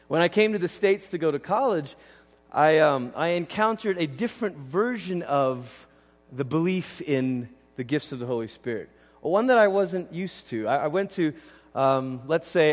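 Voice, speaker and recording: Male pastor, from Malaysia, high voice, conversational teaching sermon, big reverberant hall. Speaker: pastor preaching. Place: large indoor church hall.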